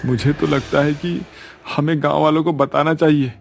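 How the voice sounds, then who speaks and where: A man's voice: on the high side, fairly even intonation, moderate pace, gravelly, slight background noise, pitched above the performer's own voice. A man voicing a concerned, resolute village man in a cartoon, speaking earnestly and with emphasis.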